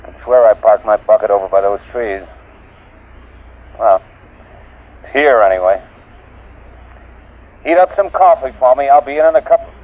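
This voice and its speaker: An actor playing a field surveyor on a space expedition in an old-time radio drama, in a tinny recording. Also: male voice, medium pitch, expressive, moderate pace, long pauses, lightly acted. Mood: relaxed, puzzled. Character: laid-back spaceman field surveyor, turning to terrified victim.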